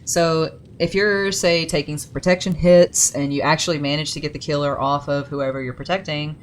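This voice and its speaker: Low, casual woman gamer reading lore, chatty asides, faint rumble underneath. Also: fairly even intonation, moderate pace. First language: English. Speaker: gaming video creator.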